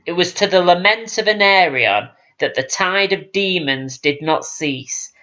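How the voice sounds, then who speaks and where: Slow-paced man narrating epic fantasy. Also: fairly high pitch, pushed and bright, lo-fi sound. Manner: solemn, measured storytelling. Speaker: audiobook reader. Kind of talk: scripted dramatic fantasy narration.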